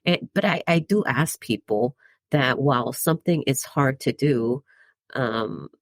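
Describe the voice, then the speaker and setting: Woman podcast host from the Dominican Republic, gravelly low-pitched voice, conversational and reflective, dry close-mic sound. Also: unhurried, no echo. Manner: conversational, tags points with "right?", chuckles between thoughts. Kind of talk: reflective solo podcast monologue.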